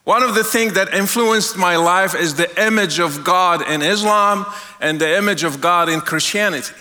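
A middle-aged man from Morocco, sharing an earnest testimony sermon as a guest speaker, slowing down to stress key words. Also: sits high, bright, forceful tone, soft background music. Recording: long hall reverb.

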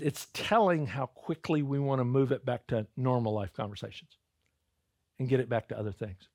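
A man's voice: medium pitch, darkish tone, no echo. Man preaching, dry and close. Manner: conversational preaching, addresses crowd as "guys", repeats words when starting. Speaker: pastor. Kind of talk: sermon.

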